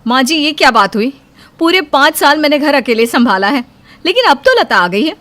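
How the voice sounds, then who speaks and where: A woman's voice: high voice, sing-song, normal speed, a bit bright. A woman playing a jealous, spiteful housewife in a cartoon moral story, sarcastic, bossy and full of complaints. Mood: resentful complaining. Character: spiteful, jealous elder sister-in-law, grumbling housewife.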